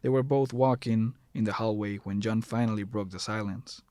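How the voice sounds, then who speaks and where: A man's voice: fairly low pitch, fairly even intonation, moderate pace, dark tone, no echo. A man reading scripted narration for an audio drama.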